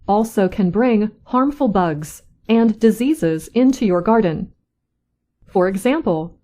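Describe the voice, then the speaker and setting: Slow-reading woman news reader. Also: mid-range voice, dark tone. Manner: slow, careful, clear delivery. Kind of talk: slow scripted reading for learners.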